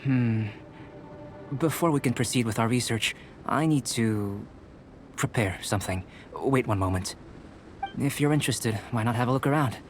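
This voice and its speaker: A man playing a composed young alchemist in a video game, speaking in a measured, formal, analytical manner. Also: medium pitch, slight background noise, close to an everyday voice. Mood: curious, composed. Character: calm, courteous young male alchemist and researcher. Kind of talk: video game character dialogue.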